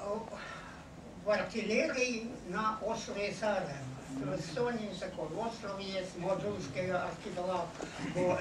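Halting very old man in an echoey hall. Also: high voice. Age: over 80. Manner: halting, searching for words. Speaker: war veteran giving testimony. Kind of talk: unscripted personal recollection to an audience. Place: meeting hall with seated audience. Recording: echoey hall, noisy, distant camera mic.

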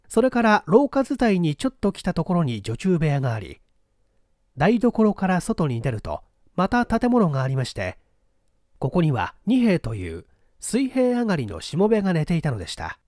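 A man narrating a mystery novel as an audiobook, in a measured storytelling manner. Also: sits high, a little mellow, dry recording, clean studio sound. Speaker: audiobook narrator, small-theatre stage actor. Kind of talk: scripted narration, mystery novel read aloud.

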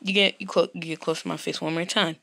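A man's voice: on the high side, level tone, fast, vocal fry, pushed and bright, no echo, voice pushed down. A man voicing a stern, short-tempered adoptive mother in a game roleplay, blunt and threatening. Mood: gruff.

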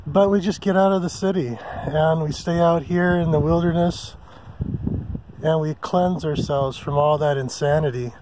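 A man hosting a casual camping vlog from a mountain meadow, talking in a relaxed, rambling way with many ums and uhs. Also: a little high, normal speed, noisy background. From Hawaii. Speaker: vlog host. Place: open mountain meadow campsite outdoors.

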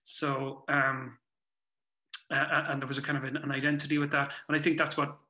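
Irish man, Cork accent, forties, bright tone, even intonation, halting reflective chat, interview guest, video call. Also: medium pitch. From Cork, Ireland. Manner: halting, circling back to rephrase. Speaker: guest, playwright and performer. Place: small room at home, indoors. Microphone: computer mic on a video call. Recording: roomy video-call sound.

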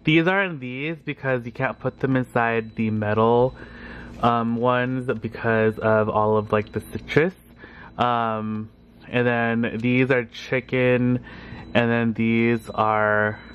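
A man's voice: mid-range voice, muffled recording, slight background noise. A young man vlogging at home, chatting casually to a handheld camera held at arm's length and laughing often. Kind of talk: casual vlog chat. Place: home kitchen and bedroom.

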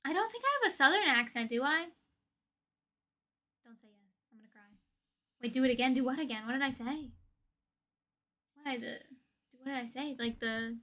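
A young woman talks casually to her livestream viewers. Her voice is very high and bright. She is playful and expressive, with plenty of slang in an informal, chatty flow. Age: young adult. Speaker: livestream host. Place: indoor room with desk and shelves of collectibles.

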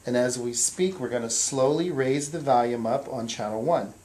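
A man's voice: medium pitch, normal speed, darkish tone. A man in his forties giving a plain, methodical, hands-on equipment tutorial, explaining each step as an instructor. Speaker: instructor for an equipment rental company. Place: small indoor meeting room. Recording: roomy echo, distant mic, background hiss.

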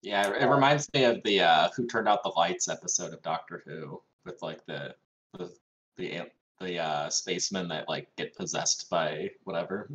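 A man's voice: low voice, moderate pace, a bit bright. A man chatting casually as a player in a game, heard over a lo-fi voice-chat mic.

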